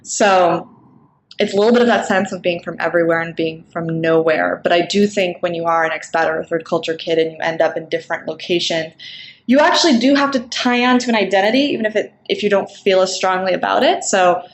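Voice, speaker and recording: A young woman raised in Singapore with an American-sounding accent, speaking in a thoughtful, measured way with frequent ums in a recorded summit talk. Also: fairly low pitch. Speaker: nonprofit co-founder. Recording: echoey room, faint background noise.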